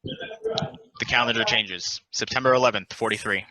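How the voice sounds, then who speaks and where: A man narrating stage directions at a play table read in a plain, deliberate, announcing manner. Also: mid-range voice, wildly swooping pitch, pushed and bright.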